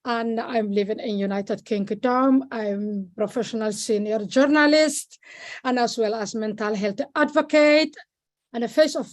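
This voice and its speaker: A woman from Somalia with a Somali accent speaks at an online meeting over a video call, halting and repeating words, with many fillers. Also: fairly high pitch. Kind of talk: informal speech at an online meeting.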